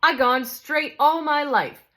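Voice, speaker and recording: A woman's voice: high voice, slow pace, a bit bright, pitched above the performer's own voice. A woman voicing a big, self-righteous male ghost who complains in coarse working-class speech. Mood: aggrieved.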